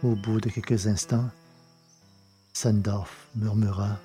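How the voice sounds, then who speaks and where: A man reading a novel aloud in measured, deliberate narration. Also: lowish voice, level tone, warm tone, faint music behind. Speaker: audiobook reader. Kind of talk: scripted narration of a science-fiction novel.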